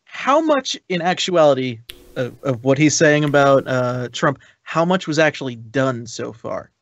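A man co-hosting a podcast in casual chat, sardonic and quick to swear. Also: mid-range voice, lively intonation, dry recording, muffled recording. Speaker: podcast co-host.

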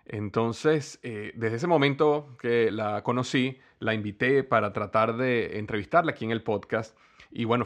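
A man's voice: medium pitch, a bit bright. A man hosting a podcast, introducing a guest and reading a sponsor ad in an eager, friendly way with frequent fillers. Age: young adult to middle-aged, has a son. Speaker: podcast host.

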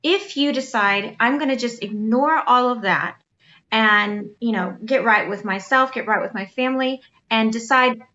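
A woman with a high, sweet voice co-hosts a casual chat. She talks straight to her listeners in an earnest, encouraging way. Her voice sits a little high, and the recording sounds dull and lo-fi. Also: moderate pace, pushed and bright.

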